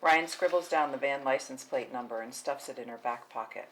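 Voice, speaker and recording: A woman with an extremely low-pitched voice narrates screenplay directions at a table read. Her delivery is scripted narration. There is faint noise in the recording. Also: fairly quick, a bit bright, low-quality recording. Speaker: narrator reading stage directions.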